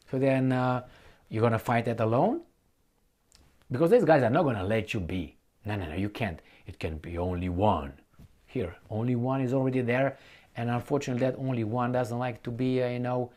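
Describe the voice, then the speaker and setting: A man giving an unscripted, opinionated political commentary, speaking bluntly and colloquially, with halting repetition and rhetorical questions to the listener. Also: medium pitch, a little mellow. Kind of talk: unscripted opinionated monologue to viewers.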